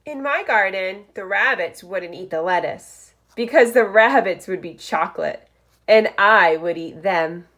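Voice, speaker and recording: Slow, very animated woman reading to children. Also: mid-range voice. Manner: expressive, deliberate storytelling with short phrases and asides. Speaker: teacher reading aloud to first graders. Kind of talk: picture-book read-aloud for young children.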